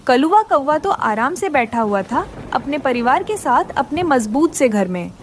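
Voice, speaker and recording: High-pitched woman narrating a children's story. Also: sing-song, measured pace, slight background noise.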